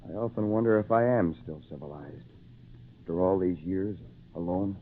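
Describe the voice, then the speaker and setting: A man's voice: sits low, normal speed, slight background noise, close to an everyday voice. A man playing a kindly, lonely English castaway in an old-time radio drama, with patient, theatrical phrasing. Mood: wistful, kindly. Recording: tinny narrow-band old radio recording.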